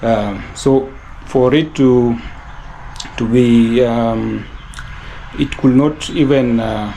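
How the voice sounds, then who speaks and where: A man from Kenya casually showing a house in a vlog, talking haltingly with many fillers and restarts. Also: medium pitch, fairly even intonation, vocal fry, dark tone, slight background noise, poor audio. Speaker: vlogger touring a house. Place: outdoors at a rural house. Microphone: handheld camera.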